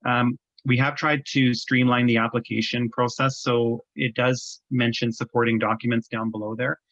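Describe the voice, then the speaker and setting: A Canadian man presenting on a government webinar, walking through an application form in a measured, explanatory way with frequent ums. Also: mid-range voice, level tone, a bit bright, dry recording, lo-fi sound. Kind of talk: webinar walkthrough. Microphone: video call.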